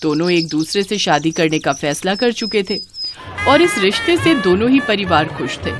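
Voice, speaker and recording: A woman narrating a scripted Hindi family story as storyteller, with an expressive storybook delivery. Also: medium pitch, moderate pace, music in the background.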